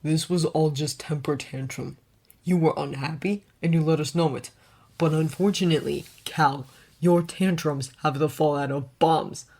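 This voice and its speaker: A woman voicing a stern, guarded wealthy man who talks in a clipped, hard, deadpan way. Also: low voice, normal speed.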